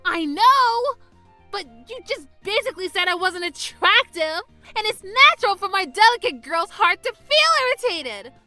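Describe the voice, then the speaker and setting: A woman voicing a prickly, easily irritated young girl in a manga dub, huffy and sharp-tongued. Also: very high-pitched, very animated, normal speed, exaggerated voice. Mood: indignant. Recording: faint background music.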